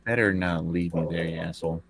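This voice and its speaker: A man with a deep voice takes part in a tabletop role-playing game as a player. His talk is casual and a little halting, often feeling its way through questions about what he can do. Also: steady tone, creaky, a crowd in the background.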